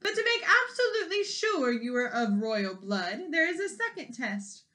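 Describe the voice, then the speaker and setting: Storybook queen, played by a woman reader: demanding, haughty, bright forceful tone, formal proclamations. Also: high-pitched, very animated, normal speed, exaggerated voice. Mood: grand, formal.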